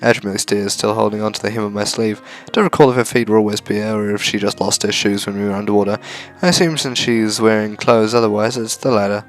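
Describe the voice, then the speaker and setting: A man's voice: on the low side, little pitch movement, quick pace, few pauses. A man reading a visual novel aloud as narration for a gaming video. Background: faint music.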